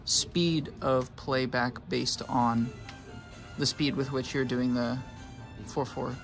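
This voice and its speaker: A man's voice: medium pitch, fairly even intonation, slow, vocal fry, music in the background. A man in an instructor's role, asking inquisitive conversational questions and checking his understanding step by step. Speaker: instructor interviewing students. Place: electronics lab, indoors.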